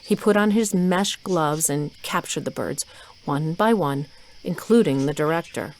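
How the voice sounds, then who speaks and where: A woman's voice: deep voice, unhurried, a little mellow. A woman reading scripted story narration for an audio drama. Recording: noisy ambient sound effects.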